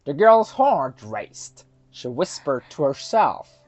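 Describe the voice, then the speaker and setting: A woman's voice: sits low, very animated, takes it slowly, a little mellow. A woman storyteller narrating a children's folktale expressively.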